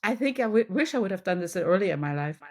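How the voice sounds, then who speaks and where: A middle-aged woman with a German accent speaks as a business and wellness coach being interviewed. Her voice sits at an average pitch for a woman. She talks emphatically and conversationally, offering encouragement and checking in as she goes. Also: animated, quick pace, darkish tone. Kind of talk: conversational interview, motivational advice.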